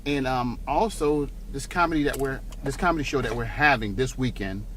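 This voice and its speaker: A man's voice: mid-range voice, brisk, a bit bright, a little hiss or hum. A middle-aged man from South Florida, a comedian and corrections officer, chatting casually in an interview and often saying "you know what I mean". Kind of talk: casual interview in a patrol car. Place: inside a moving car.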